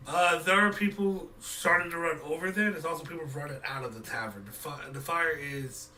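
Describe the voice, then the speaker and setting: Bright-voiced man running a tabletop game. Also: fairly high pitch, distant mic, a little hiss or hum, rough recording. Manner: halting, thinking aloud. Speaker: game master. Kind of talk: improvised tabletop game narration.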